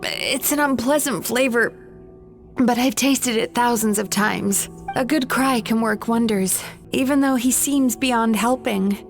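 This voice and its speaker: Calm game healer lady, highish voice, caring, music under it. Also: a little slow. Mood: thoughtful. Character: calm, caring female dream therapist and guide. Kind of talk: video game voice acting. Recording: music behind.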